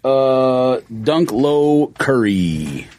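A man's voice: medium pitch, very animated, drawn-out words, darkish tone. A man in a casual chat among friends, laid-back, laughing often and hesitating with fillers.

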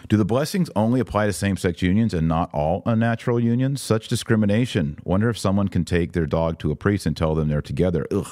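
A man's voice: low-pitched, fast, few pauses, warm tone, dry recording, clean studio sound. A man hosting a live podcast, reading listener comments and giving informal, opinionated, emphatic commentary. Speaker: podcast host.

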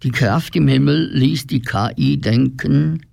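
A man tells a scripted fairy tale as the narrator of a radio play. His medium-pitched voice is strongly creaky and he takes his time, recorded close and dry. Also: expressive, measured pace, a little mellow, no echo.

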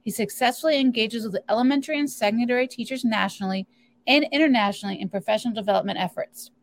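Female webinar host, slightly high voice, friendly welcome, steady scripted reading. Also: quick pace. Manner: friendly, reads announcements steadily. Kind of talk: reading an introduction.

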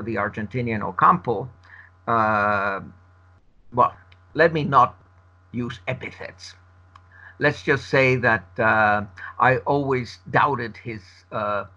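A man whose first language is Spanish gives a long interview answer, arguing in a measured, emphatic way. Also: medium pitch, sharp tone. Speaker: interview guest. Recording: dull lo-fi recording.

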